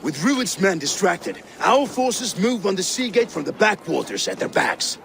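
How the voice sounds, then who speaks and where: A man voicing a loyal Viking ally and battle planner in a game cutscene, speaking in an earnest, steady way. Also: high-pitched, measured pace, vocal fry, slight background noise, exaggerated voice. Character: loyal male Viking ally, calm strategist. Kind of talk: video game cutscene acting.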